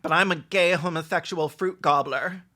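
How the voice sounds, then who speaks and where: Bright, mocking comedic commentator. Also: medium pitch, normal speed, faint music behind. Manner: campy, mocking, theatrical, deadpan asides. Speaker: video commentator. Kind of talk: sarcastic comedic reaction commentary, mock-acting the characters.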